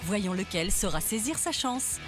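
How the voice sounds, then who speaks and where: A woman's voice: medium pitch, animated, unhurried. A woman doing scripted voice-over narration for a TV dating show, punchy and wry, full of puns. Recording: TV voice-over with music under it.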